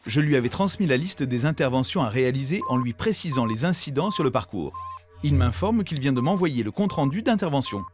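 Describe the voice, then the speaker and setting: Man playing an animated, helpful cartoon software mascot. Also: mid-range voice, fairly quick, music in the background, natural voice. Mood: eager, helpful. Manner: upbeat, presentational. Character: eager, helpful, self-assured four-armed male software mascot. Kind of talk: scripted explainer in an educational cartoon.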